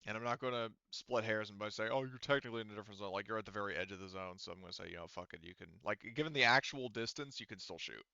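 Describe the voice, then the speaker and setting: A man's voice: fairly low pitch, rapid-fire, gravelly, hardly any pauses, bright, forceful tone, no echo. A man running a casual tabletop game as game master, informal and prone to swearing, on slightly muffled audio. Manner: informal, profane.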